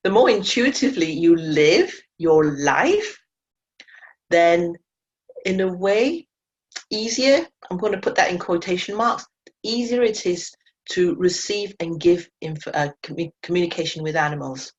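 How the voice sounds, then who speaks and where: Woman instructor, English not her first language, lowish voice, dull lo-fi call audio, earnest and reflective. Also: lively intonation, measured pace. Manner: earnest, reflective, with self-corrections. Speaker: animal communication teacher. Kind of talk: webinar talk to learners. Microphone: video call mic.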